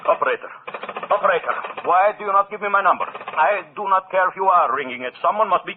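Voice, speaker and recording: Jealous maestro: menacingly polite, imperious, animated pitch, scratchy vintage radio drama sound. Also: male voice. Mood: angry, impatient. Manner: imperious, deliberate, sarcastic. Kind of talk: 1940s radio drama acting. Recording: scratchy, muffled old radio broadcast.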